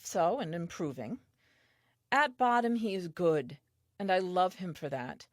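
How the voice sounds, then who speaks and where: A woman's voice: medium pitch, dry recording, studio quality. An actress playing a tender, wondering first woman reading her diary in an audio play, reflective and earnest.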